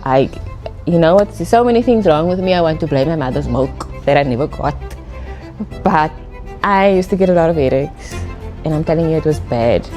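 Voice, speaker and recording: Casting: woman, thirties, low and mellow voice, candid and self-mocking testimonial. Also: animated, brisk, soft background music. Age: 30s. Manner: candid, wry and rambling. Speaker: customer giving a testimonial. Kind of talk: testimonial interview.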